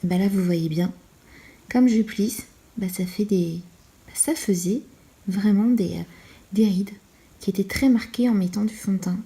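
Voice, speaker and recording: A woman in her thirties talks to her viewers like a chatty beauty vlogger. Her voice is soft-edged and mellow, at a middling pitch. She is confiding and a little self-deprecating, and the room around her adds a clear echo. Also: measured pace. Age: 30s. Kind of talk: casual product review to camera. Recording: roomy, echoey indoor sound.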